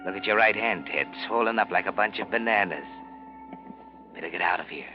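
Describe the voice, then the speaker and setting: A man acting as the menacing narrator of a 1940s radio suspense play, speaking like a guilty conscience in a measured, insinuating manner. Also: deep voice. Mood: taunting, sinister. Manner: measured, insinuating, addressing the character as you. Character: ominous taunting male narrator, a guilty man's inner voice. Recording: muffled 1940s radio recording, music behind.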